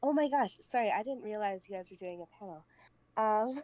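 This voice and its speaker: A woman's voice: mid-range voice, lively intonation, normal speed, darkish tone, dry recording. A woman, a voice actor, chatting casually and somewhat apologetically on a group call while she has a cold. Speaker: voice actor and artist. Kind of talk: casual chat. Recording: faint background noise on voice call.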